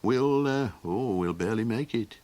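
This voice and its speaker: A man voicing a small, anxious male Golok creature in a hesitant, murmuring low voice. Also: expressive, moderate pace, mellow, no room sound, natural voice. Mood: fretful. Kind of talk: audiobook character voice.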